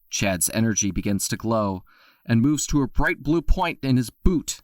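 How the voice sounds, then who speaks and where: Low-voiced man narrating an audio drama. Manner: expressive storytelling.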